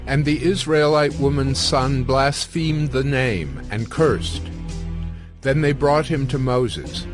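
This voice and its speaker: A man narrating scripture as a solemn, deliberate storyteller in a scripted production. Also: mid-range voice, music in the background.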